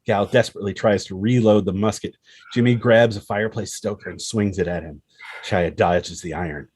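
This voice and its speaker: Low, husky male screenplay narrator. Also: steady tone, a little fast, a little mellow, no room sound. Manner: punchy, present-tense action reading. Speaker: stage-direction narrator. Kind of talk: dramatic scripted narration at a table read.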